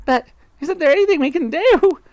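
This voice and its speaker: A man's voice: extremely high pitch, lively intonation, moderate pace, a little mellow, dry recording, voice pushed up. A man voicing a frightened, gullible old wife in a storybook, wailing and gasping in panic. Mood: frantic, pleading.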